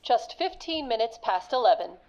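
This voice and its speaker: A woman playing a polite, officious hospital receptionist in a radio play, speaking in a formal, measured, unhurried way. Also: fairly high pitch, sing-song, muffled recording, noisy background.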